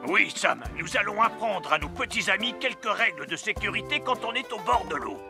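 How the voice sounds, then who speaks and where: A man voicing an excitable, bumbling presenter of safety tips in a French cartoon dub, with a showy delivery. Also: high voice, brisk, creaky, over music. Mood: enthusiastic.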